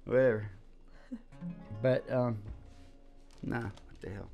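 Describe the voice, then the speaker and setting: A man's voice: mid-range voice, fairly even intonation, takes it slowly, gravelly, warm tone. A man chatting casually as host of his own music show, rambling, jokey and self-deprecating. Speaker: singer-songwriter and radio show host. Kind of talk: casual rambling chat between country songs.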